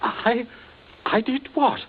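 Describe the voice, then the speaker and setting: An actor playing a frightened gentleman cornered at gunpoint in a 1940s radio drama, halting and stammering as he protests. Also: very high-pitched, a little hiss or hum. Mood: nervous protest. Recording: muffled old radio broadcast.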